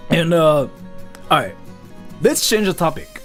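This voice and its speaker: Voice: medium pitch, lively intonation, measured pace, faint music behind. A native Japanese speaker with a Japanese accent, chatting casually with livestream viewers as the host in a self-deprecating, apologetic way.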